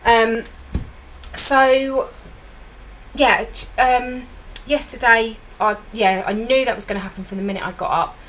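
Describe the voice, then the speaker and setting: Chatty woman with a croaky voice. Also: fairly high pitch, steady tone, a little slow, fairly bright tone, slight background noise. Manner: chatty and rambling, self-correcting. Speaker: weight loss consultant. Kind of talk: casual vlog update.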